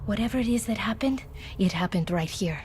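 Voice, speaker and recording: A woman's voice: mid-range voice, lively intonation, fairly quick, darkish tone, a little hiss or hum, close to an everyday voice. A woman playing an embattled, distrustful field operative in a video game, with a Spanish accent and an earnest, weary, dramatic delivery. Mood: grim resolve. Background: music.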